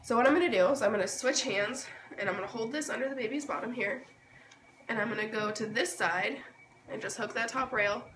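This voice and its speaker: Female host for an informal how-to demo, hoarse and under the weather, explaining step by step, picked up from a distance in a noisy space. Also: fairly high pitch, fairly quick. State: hoarse from being sick. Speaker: babywearing tutorial host. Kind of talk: casual hands-on tutorial. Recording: roomy, distant mic, noisy background.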